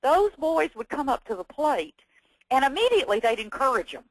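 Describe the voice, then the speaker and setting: A woman's voice: high voice, big pitch swings, fairly quick, slightly rough, dry recording, rough recording. An older woman from Texas with a Southern accent, giving an educational webinar in a folksy, chatty way with self-deprecating humor. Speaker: webinar presenter, former teacher.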